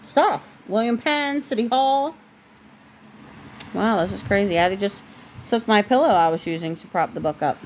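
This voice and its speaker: A woman who is a parent reading a storybook aloud in an expressive way, with casual asides. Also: medium pitch, moderate pace, pauses often, a little hiss or hum, rough recording.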